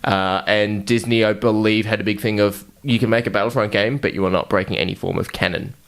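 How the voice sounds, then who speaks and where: A man with a fairly low voice talks as a podcast host. His delivery is casual and informal, with loose, sweary banter among friends. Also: fairly even intonation, fairly quick. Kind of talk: casual chat.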